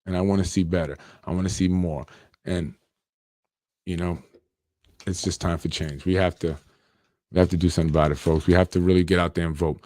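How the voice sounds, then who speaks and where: An earnest man in his forties from Yonkers, New York, talking straight into the mic on his own podcast. His voice sits fairly low, he repeats himself and leans on "you know," and the room sounds dry and close. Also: fairly even intonation, fairly quick, long pauses, mellow, no room sound. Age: 40s. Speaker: podcast host. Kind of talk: solo podcast monologue.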